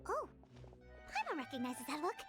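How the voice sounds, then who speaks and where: A woman's voice: huge pitch swings, moderate pace. A woman voicing a tiny, eager, girlish fairy companion in a video game, chirpy and childlike. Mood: curious, concerned. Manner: speaks of herself in third person, squeaky. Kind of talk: cartoonish video game dialogue. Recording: game audio with music behind.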